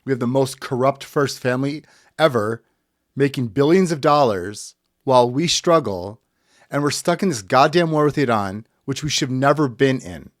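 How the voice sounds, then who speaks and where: A man hosts a political podcast in a blunt, furious tone, swearing freely as he talks. His pitch sits in the middle range, and the close mic sounds dry, with no room around it. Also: normal speed, no echo. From United States. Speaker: podcast host. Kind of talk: impassioned political commentary.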